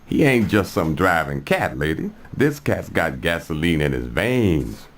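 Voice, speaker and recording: A game gangster boss, super deep voice, slick slangy swagger, noisy background. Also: male voice, big pitch swings, heavily acted. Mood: cool swagger. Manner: slangy, unhurried street-hustler swagger. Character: smooth, menacing male gangster boss planning jobs. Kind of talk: video game cutscene acting.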